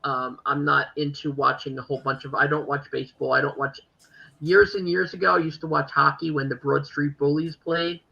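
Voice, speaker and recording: A woman's voice: low voice, flat delivery, brisk, gravelly, bright, forceful tone, muffled recording. A woman with a Philadelphia accent hosting a podcast, chatting casually and rambling with many fillers. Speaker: podcast host. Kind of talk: casual chat.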